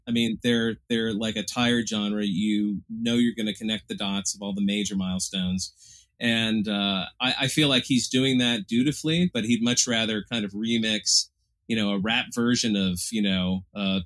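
Low-voiced, discursive male film critic. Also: a bit bright. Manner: discursive, thoughtful, many fillers. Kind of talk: casual review chat.

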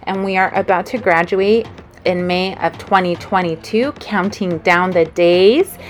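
A woman's voice: on the low side, unhurried, a little hiss or hum. A young woman from Sinaloa, Mexico, opening a podcast in an upbeat, welcoming way. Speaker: podcast co-host. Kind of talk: scripted podcast intro.

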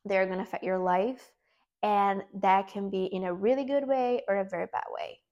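A woman's voice: medium pitch, steady tone, moderate pace, dull audio. A woman from Brazil with a Brazilian Portuguese accent, whose first language is Portuguese, giving an earnest self-help talk and repeating words as she searches for them.

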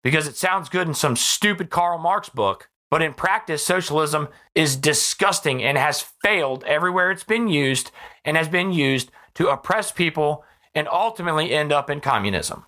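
Bright, emphatic American podcast host, slightly hoarse. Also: mid-range voice, expressive, normal speed. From United States. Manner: emphatic, repeats phrases for effect. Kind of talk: impassioned political monologue.

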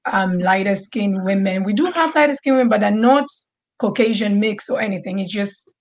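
A woman's voice: medium pitch, a little fast. A woman from Tanzania speaking as a panelist, talking conversationally with some hesitation. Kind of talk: panel discussion.

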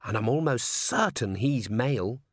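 A man's voice: medium pitch, expressive, a little slow, no room sound, clean studio sound. A man voicing a shy, nervous young female dwarf constable who is awkwardly polite and stammers hesitantly in a storybook reading. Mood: shy concern. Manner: hesitant, stammering, fumbling over words.

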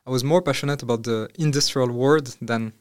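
Young male founder from Morocco, resonant tone, halting delivery. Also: mid-range voice, clean tone. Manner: hesitant, many fillers and restarts. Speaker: startup founder guest. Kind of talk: casual interview answers.

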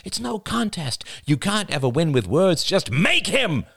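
A man's voice: high voice, sing-song, unhurried, gravelly, fairly bright tone, slightly rough, no echo, clean studio sound, voice pushed up, exaggerated voice. A man voicing a stern mother's nagging inner voice. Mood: urging. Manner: urging, insistent.

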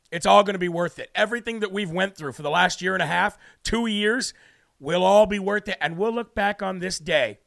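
Gravelly-voiced brash male talk host. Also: sits high, fairly bright tone, no room sound, studio quality. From New Hampshire. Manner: brash, preachy, reading out viewer comments. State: losing his voice. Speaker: political talk show host. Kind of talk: live political talk show.

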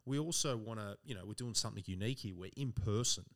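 A man's voice: on the low side, fast talker. A man co-hosting a podcast in casual chat. He speaks earnestly and keeps saying "you know" and "team". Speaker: podcast co-host.

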